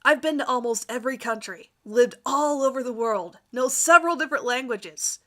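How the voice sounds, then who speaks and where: Bright-voiced woman giving a dramatic reading. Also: sits high, moderate pace. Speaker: amateur reader of her own fan fiction. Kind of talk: dramatic reading of a first-person story.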